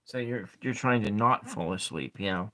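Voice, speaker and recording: A man with a calm voice chatting softly with viewers while he draws and colors tarot cards, trailing off and restarting as he works. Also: moderate pace. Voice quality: calm speaking voice. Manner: quiet, low-key chatting with viewers. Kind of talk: soft-spoken ASMR art livestream chat.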